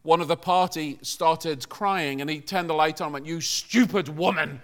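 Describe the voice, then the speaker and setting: A man's voice: on the high side, fairly even intonation, normal speed, smooth. A man from Liverpool preaches to a congregation in a conversational, joking way, often putting questions to his listeners. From Liverpool, England.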